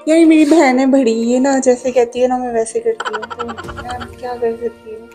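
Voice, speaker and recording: A man's voice: extremely high pitch, steady tone, moderate pace, dark tone, soft background music. A young man voicing a lovestruck, nervous female student who pleads coyly, in a prank phone call. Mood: pleading. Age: young adult. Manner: coy, pleading. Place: small indoor room.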